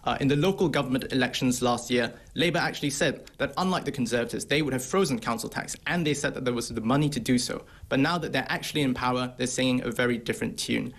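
A young man in his twenties speaks on a news interview over a video call from an office. He delivers his talking points quickly and assertively. His voice has a slightly husky edge. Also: medium pitch, a bit bright. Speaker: think-tank researcher. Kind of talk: remote interview commentary. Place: office room.

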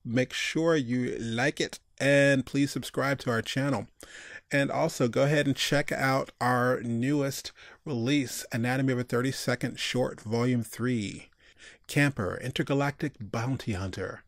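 A man's voice: medium pitch, measured pace, dry recording, studio quality. A man giving a casual screencast tutorial, informally talking through each step and thinking aloud. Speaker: tutorial instructor.

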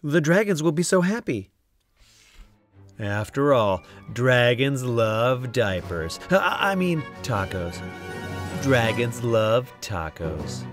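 A man reading a children's picture book aloud, expressive and theatrical, with dramatic drawn-out emphasis. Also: medium pitch, faint music behind. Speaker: storybook reader.

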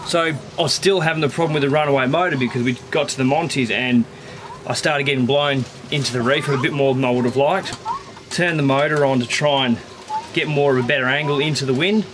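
A man's voice: medium pitch, fairly even intonation, a little fast, noisy background. A man in his thirties with an Australian accent, talking casually and in a rambling, laid-back way as a sailing vlogger. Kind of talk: casual explanatory vlog talk. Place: aboard a small sailboat, in the cabin and on deck.

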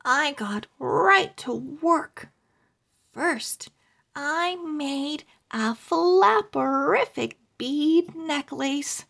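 A woman reading a children's book aloud as host, expressively and with deliberate word-by-word phrasing for young readers. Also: high voice, expressive, creaky, fairly bright tone.

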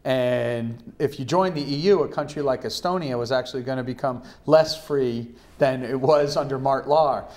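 A man's voice: mid-range voice, normal speed, hardly any pauses. A middle-aged man, a guest economist, talking animatedly in a TV studio interview, gesturing as he tells stories. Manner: animated, gesturing storyteller with restarts. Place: TV studio set, seated in armchair.

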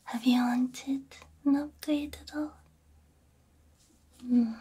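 A woman whispering softly in an ASMR roleplay, gentle and reassuring, speaking close into a binaural microphone. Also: high voice, steady tone, takes it slowly. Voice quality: breathy, mostly whispered. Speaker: ASMR roleplay creator playing a robot repair technician.

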